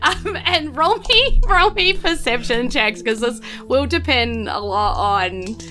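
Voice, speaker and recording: A woman's voice: high voice, animated, slow pace, bright, forceful tone. A woman with a New Zealand accent casually narrating a tabletop game as game master, informal and playful.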